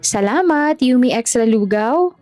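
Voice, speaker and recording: A woman voicing boisterous male construction workers who call out loudly and teasingly in an audio story. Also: fairly high pitch, lively intonation, unhurried, no room sound, faint music behind, pitched above the performer's own voice. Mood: hungry, jovial. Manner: loud, teasing.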